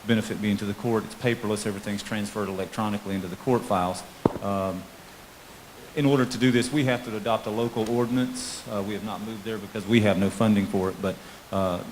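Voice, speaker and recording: A man's voice: lowish voice, low-quality recording. A man explaining a proposal to a town council as police chief, in an informal, plain presenting style. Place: town council meeting room. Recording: faint hiss on meeting microphone.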